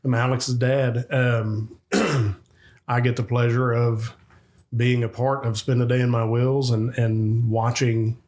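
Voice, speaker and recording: A middle-aged man guests on a podcast, speaking haltingly with plenty of fillers. His voice stays flat and level, and its pitch sits mid-range. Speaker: guest, parent. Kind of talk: interview talk.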